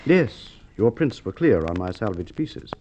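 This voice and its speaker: A man acting a courteous, methodical police inspector in an old radio crime drama, with polite, measured, probing speech. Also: fairly low pitch, big pitch swings, mellow. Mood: confident accusation. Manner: polite, measured, hesitant probing with formal phrasing. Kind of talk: Golden Age Australian radio drama acting. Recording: muffled, noisy old radio recording with clicks.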